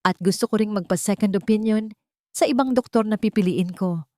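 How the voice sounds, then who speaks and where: A woman's voice: mid-range voice, brisk, darkish tone, no echo, voice pushed up, lightly acted. A woman acts out a wounded, stern husband in an audio story. The voice demands answers and is recorded dry and close.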